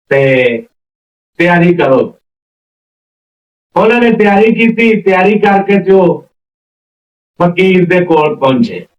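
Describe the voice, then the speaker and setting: A man telling a folk story informally and unhurriedly, repeating phrases as he links one event to the next. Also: sits high. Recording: roomy, distant, slightly dull mic.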